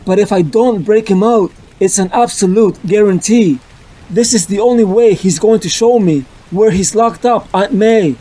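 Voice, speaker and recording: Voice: medium pitch, moderate pace, warm tone, natural voice, rough recording. A performer voicing a young male superhero who is earnest, apologetic and set on a hard choice, in a fan dub of a motion comic. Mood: resolute. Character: young male superhero, guilt-ridden and determined.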